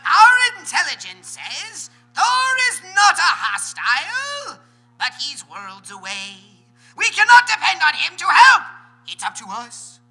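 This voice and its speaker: Piercing, shrieking cartoon megalomaniac. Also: male voice, very high-pitched, huge pitch swings, a little slow, pushed and bright, faint music behind, exaggerated voice, rough recording. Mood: shrieking outrage. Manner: theatrical, bursts into screamed declarations. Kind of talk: live comedic table read in cartoon voices.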